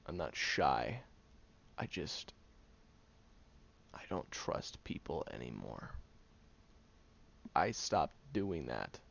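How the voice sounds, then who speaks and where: Very deep, gravelly, prickly young loner played by a man. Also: very animated, drawn-out words, pauses often, fairly bright tone, exaggerated voice. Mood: exasperated, defensive. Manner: sardonic, hesitant, sighing, often dropping to breathy murmurs. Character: prickly young male loner, guarded, grudgingly caring friend. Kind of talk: audio roleplay, intimate one-sided dialogue. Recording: muffled, lo-fi, noticeable background hiss.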